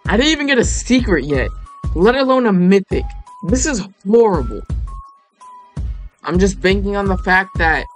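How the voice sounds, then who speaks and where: Raspy young guy, just woke up, chatty gaming commentary, low game music behind. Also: animated, normal speed, long pauses. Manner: chatty, pleading with the game for luck. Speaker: gaming channel host.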